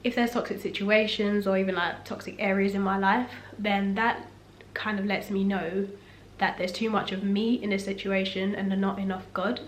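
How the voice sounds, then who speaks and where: Young woman, husky from a cough, reflective faith-centred vlog chat, medium pitch, roomy echo with faint background hum. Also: normal speed. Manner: relaxed, reflective and faith-focused. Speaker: vlogger. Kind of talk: casual sit-down talk. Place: room at home. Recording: echoey room, faint ambience.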